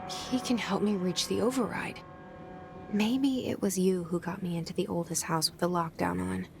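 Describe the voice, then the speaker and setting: A woman's voice: on the low side, gravelly, noisy background. A woman playing a guarded, determined young agency director in a video game, American, speaking in a terse, introspective way. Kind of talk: cinematic video game dialogue and inner monologue.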